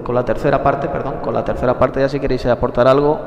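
A man's voice: mid-range voice, steady tone, fast talker. A man preaching to fellow believers on an online group call, speaking as the leader of the meeting. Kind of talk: sermon closing, addressing the congregation. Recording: long hall-like reverb.

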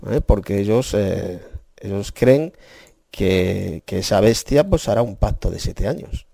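Gravelly emphatic Spanish preacher man. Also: on the low side, expressive, a little slow, no room sound, studio quality. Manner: emphatic, warns forcefully, asks rhetorical questions. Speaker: Bible teacher leading an online chat room. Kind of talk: preaching in an online Bible chat.

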